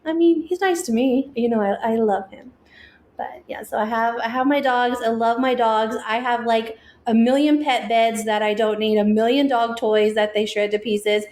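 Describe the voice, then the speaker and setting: A woman's voice: a little high, fairly even intonation, normal speed, darkish tone. Chatty woman podcaster, echoey room. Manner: chatty, laughs often, self-deprecating. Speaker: podcast co-host. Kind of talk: casual chat.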